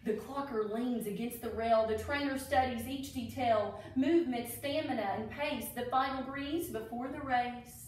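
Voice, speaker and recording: A woman's voice: a little high, normal speed, few pauses, slight background noise. A woman reading a picture book aloud to children, with enthusiastic asides to her young listeners. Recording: echoey room, distant microphone.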